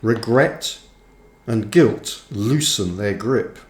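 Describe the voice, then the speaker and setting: A man reading his own book aloud as scripted narration, with measured, deliberate phrasing. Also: on the low side, lively intonation. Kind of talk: audiobook-style scripted narration. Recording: roomy, echoing sound.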